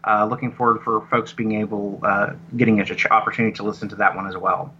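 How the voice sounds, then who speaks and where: A man talking casually as an interview guest, explaining his audio drama work in a halting, rambling, self-correcting way. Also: fairly low pitch, fairly even intonation, sharp tone, slight background noise. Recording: dull lo-fi connection.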